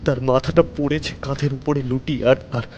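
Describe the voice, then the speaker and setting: A male narrator tells a first-person ghost story in a mid-pitched voice. His delivery is theatrical and suspenseful, broken by dramatic pauses. Background noise sits behind the words. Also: little pitch movement, a little mellow, muffled recording.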